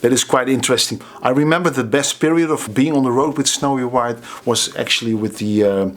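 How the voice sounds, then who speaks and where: A middle-aged Dutch drummer with a Dutch accent, telling anecdotes in a chatty, casual way to the camera. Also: male voice, mid-range voice, fairly quick, faint music behind. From Netherlands. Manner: chatty, anecdotal, laughs at his own stories.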